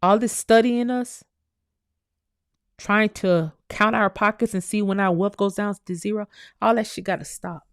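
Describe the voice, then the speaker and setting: A woman's voice: mid-range voice, fairly even intonation, a little slow, no room sound, clean studio sound. A woman hosting her own commentary channel, speaking in an impassioned, preachy monologue that repeats phrases and swears freely.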